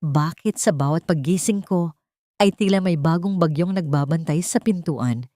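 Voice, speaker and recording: Woman reading grieving young heiress, quiet, steady, low voice, dry sound. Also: normal speed, dry recording, lightly acted. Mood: weary.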